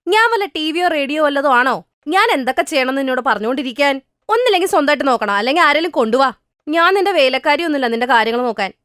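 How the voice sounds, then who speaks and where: A woman's voice: lively intonation, very fast, dry recording, studio quality. A woman voicing a spiteful, jealous, scolding sister-in-law in a cartoon moral story, accusing and complaining. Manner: shrill, accusing, loudly complaining.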